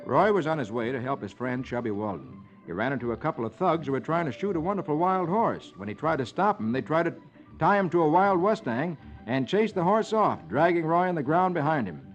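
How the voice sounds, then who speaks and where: An American man narrates in dramatic 1950s children's radio style. His voice is fairly high and very lively in pitch. The old recording is muffled, with music clearly behind him. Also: brisk. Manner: dramatic, animated storyteller. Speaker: host reading the comics.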